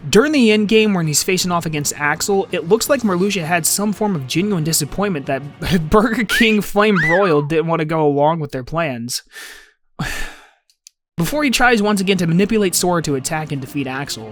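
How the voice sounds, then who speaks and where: A man's voice: on the high side, expressive, normal speed, faint music behind. A man narrating an informal scripted fan video essay about a game, with emphatic, swinging phrasing.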